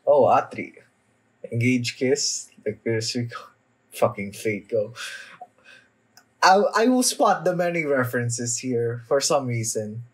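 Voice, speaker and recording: Young male anime reactor, slightly sick, slow and hesitant, casual commentary with a mid-pitched voice. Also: sing-song, husky. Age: young adult. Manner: halting, hesitant delivery. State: somewhat sick with a cough. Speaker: anime reaction video host. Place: small indoor room at home.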